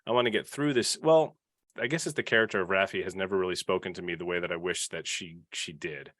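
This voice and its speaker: A man's voice: low voice, fast, fairly bright tone, no room sound. A man in casual panel discussion, tentative and questioning his own opinions as he goes. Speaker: podcast panelist.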